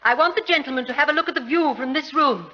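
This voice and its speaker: A woman's voice: sits high, fast, close to an everyday voice. An actress playing a frank, wry, middle-aged titled lady engaged to be married, in 1940s radio drama style. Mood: brisk, gracious. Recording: noisy, muffled 1940s radio recording.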